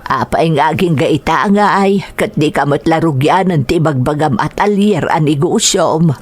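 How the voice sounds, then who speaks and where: A woman's voice: deep voice, normal speed, pitched below the performer's own voice. A woman voicing a nagging older mother who lectures her grown son reproachfully in an audio drama.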